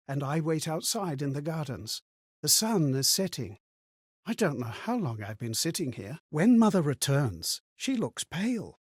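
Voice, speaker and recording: A man playing an anxious, amnesiac wanderer in a video game, delivering theatrical monologues in a measured, dramatic, husky voice full of wonder. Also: on the high side, no echo, studio quality, heavily acted. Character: anxious, amnesiac male wanderer reliving strangers' memories.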